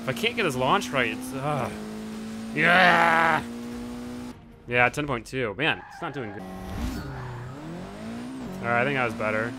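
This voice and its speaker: A man's voice: mid-range voice, unhurried, long pauses, noisy background. A young man commenting casually on a racing game as channel host, often breaking into frustrated outbursts and swearing. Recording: game audio and music under voice. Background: car engine sounds and music.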